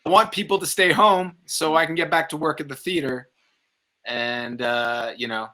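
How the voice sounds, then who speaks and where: A man's voice: fairly high pitch, steady tone, moderate pace, bright, forceful tone. A man talking casually as a guest on a review podcast. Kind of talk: casual chat.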